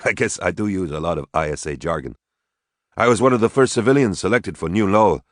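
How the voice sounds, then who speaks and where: A man voicing a calm, precise male historian in an audiobook, level and matter-of-fact. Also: low-pitched, fast talker, no echo, clean studio sound. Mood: modest.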